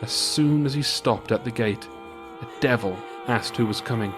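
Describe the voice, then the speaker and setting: A man reading a scripted folk tale as a storyteller, measured and old-fashioned. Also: mid-range voice. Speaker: storyteller, podcast host. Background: music.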